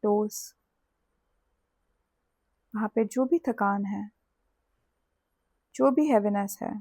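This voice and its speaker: A woman with an Indian accent leads a guided sleep meditation in a soothing, deliberate way, leaving long pauses between phrases. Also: mid-range voice, mellow, no echo. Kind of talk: guided sleep hypnosis with body scan.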